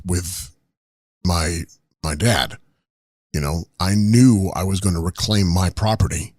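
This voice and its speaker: A crude, smug old business mogul, as a man puts him on, rambling in a very low, husky voice full of stammered restarts. He sounds close and dry, with no room around him. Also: no room sound. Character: crude arrogant retired wrestling tycoon, older man. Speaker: comedy podcast guest character. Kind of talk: improvised comedy podcast.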